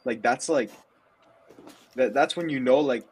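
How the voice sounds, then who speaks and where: A man's voice: medium pitch, measured pace, husky. A young man from Ottawa, a podcast guest, talking casually in a loose, rambling way with lots of fillers. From Ottawa, Canada. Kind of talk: casual chat.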